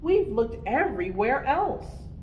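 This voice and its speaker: Woman as an insistent, lively young bear cub. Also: sits high, slow pace, slight background noise, voice pushed up, heavily acted. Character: young bear cub, confident, scheming leader with ideas. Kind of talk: picture-book storytime character voice.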